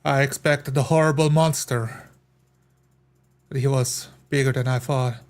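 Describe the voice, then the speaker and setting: A man playing a sober fantasy adventurer in a tabletop game, speaking formally and deliberately. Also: mid-range voice, fairly even intonation, natural voice. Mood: grave.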